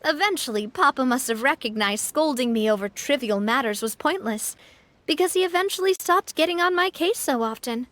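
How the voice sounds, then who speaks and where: A woman playing a refined, warm-hearted young leader in a video game, talking in a gentle, earnest, thoughtful way. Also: high voice, animated, brisk. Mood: amused. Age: young adult. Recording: background music.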